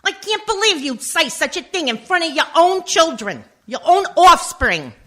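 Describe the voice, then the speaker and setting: A nagging mob wife and mother from a comic radio play, voiced by a woman. Her voice is high, bright and forceful, swinging widely in pitch. She scolds with sharp indignation. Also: big pitch swings, moderate pace, exaggerated voice. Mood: indignant, scolding.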